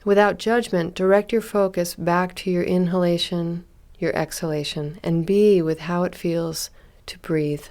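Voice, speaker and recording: Woman doing a guided body scan, kinda low voice, gentle n calm, takes her time w long pauses. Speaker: meditation instructor.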